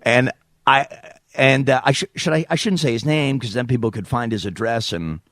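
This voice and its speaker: A man's voice: mid-range voice, moderate pace, no room sound. Middle-aged podcast host guy, chatty, acts out stories, dead dry room. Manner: animated storyteller, acts out quotes. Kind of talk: casual chat.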